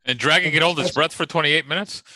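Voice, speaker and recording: A man playing a tabletop game, chatting casually in a halting way, often stammering over his words. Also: on the high side, pushed and bright, dry recording, low-quality recording.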